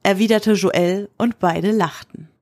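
A woman with a husky voice reads scripted literary narration for an audio drama. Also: medium pitch, slow, a little mellow, no room sound, studio quality.

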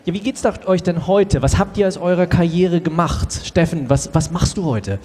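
An ageing children's show host acted by a man greets and questions in a jovial, teasing way. The voice sits fairly high, in an improvised audio play recorded in a long-reverb hall with clear noise. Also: moderate pace, mellow, lightly acted. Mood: friendly curiosity.

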